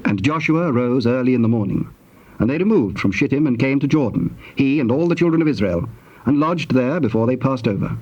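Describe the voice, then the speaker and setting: A man narrating a dramatized Bible in measured, solemn storytelling style, with a husky voice. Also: mid-range voice, animated, darkish tone, a little hiss or hum. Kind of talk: scripted scripture narration. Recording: slightly dull tape sound.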